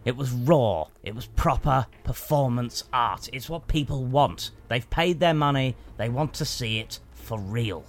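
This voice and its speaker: A man's voice: mid-range voice, animated, unhurried, few pauses, faint music behind. An actor playing an arrogant, scornful male performance artist who talks in a haughty, sneering way. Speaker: rival actor. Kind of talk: improvised comedy banter.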